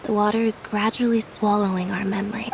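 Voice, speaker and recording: A woman's voice: mid-range voice, level tone, a little fast, vocal fry, noisy background, lightly acted. A woman voicing a reserved, wistful young woman in a video game, subdued and reflective.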